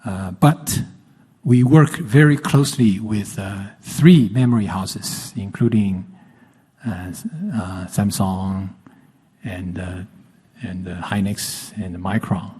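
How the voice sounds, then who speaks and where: A male company executive giving unscripted answers on an earnings call, halting and careful, repeating words as he goes. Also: low voice, steady tone, warm tone, husky. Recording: echoey hall-like conference call sound.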